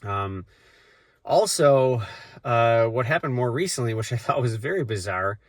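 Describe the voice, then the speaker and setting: A man's voice: medium pitch, lively intonation, a little slow, no echo. A man with a cold, talking casually to the camera as a vlogger, rambling with lots of fillers and restarts. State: has a cold, voice deeper than usual. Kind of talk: casual vlog talk.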